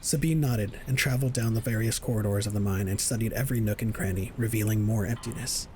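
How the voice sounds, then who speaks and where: A man's voice: fairly low pitch, quick pace, a little mellow, a little hiss or hum. A man reading scripted narration for an audio drama.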